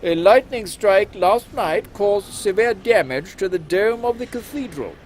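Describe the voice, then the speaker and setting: A man's voice: high-pitched, expressive, measured pace, a little hiss or hum. A man playing an officious Victorian London policeman in a comic audio drama, matter-of-fact and dryly grim. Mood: deadpan, grim.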